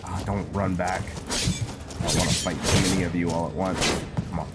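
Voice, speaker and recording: A man giving casual commentary on a game playthrough, thinking aloud and narrating his actions in a halting way. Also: low-pitched, flat delivery, heavy background noise. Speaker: gaming video host. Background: loud game music.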